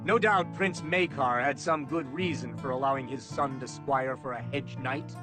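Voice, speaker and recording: A man's voice: mid-range voice, lightly acted. Man voicing a cold imperious lord over music. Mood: suspicious. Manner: measured, formal, contemptuous. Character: cold, imperious royal minister, a male lord.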